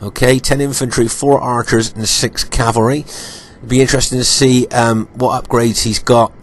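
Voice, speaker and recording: A man with a croaky voice, recovering from illness, giving unhurried commentary over strategy game footage, with many ums and repeated points. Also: mid-range voice, little pitch movement, a little hiss or hum.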